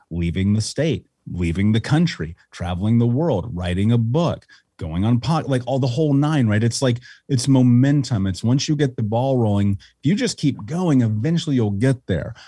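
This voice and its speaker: A blunt, intense man speaks as a podcast guest and swears freely. His voice is mellow and a little husky. It sounds dry and close on the microphone. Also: on the low side, no echo. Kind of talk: casual podcast conversation.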